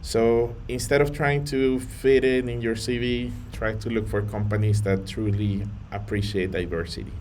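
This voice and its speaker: A man from Colombia, earnest and measured, talking to camera with a few fillers and restarts. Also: medium pitch, steady tone, a little mellow, slight background noise. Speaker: vlogger on data careers. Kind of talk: to-camera talk.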